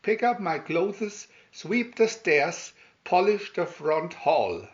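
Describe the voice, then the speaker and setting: A shrill, spiteful young woman of fairy tale, put on by a male reader. She is bossy and demanding, dragging out her high-pitched orders. The recording is a little dull. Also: very animated, heavily acted. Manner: shrieking, drawn-out demands. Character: shrill, bossy, spiteful stepsisters barking orders at servant girl.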